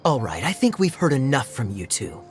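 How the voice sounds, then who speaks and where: Man acting a firm, animated authority figure. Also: mid-range voice, moderate pace, music in the background, close to an everyday voice. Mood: stern. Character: firm, authoritative man scolding bickering youngsters. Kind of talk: video game voice acting.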